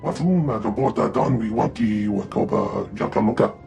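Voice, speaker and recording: A male actor voicing a small, twitchy, excitable alien merchant in a video game, jabbering in an invented tongue with a creaky voice. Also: lowish voice, normal speed, soft background music. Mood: excited, haggling.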